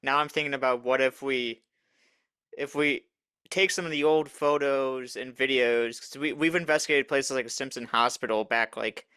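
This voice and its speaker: Bright, teasing male podcast co-host. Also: mid-range voice, fairly even intonation, moderate pace, smooth, dry recording. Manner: teasing, jokey. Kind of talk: casual chat.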